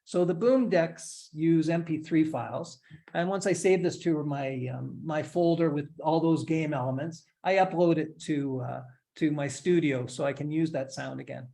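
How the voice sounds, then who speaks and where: Relaxed man walking through a software demo. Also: fairly high pitch, normal speed, gravelly. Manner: relaxed step-by-step narration with light humor. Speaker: instructor giving a software demo. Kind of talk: screen-share tutorial, casual explanation.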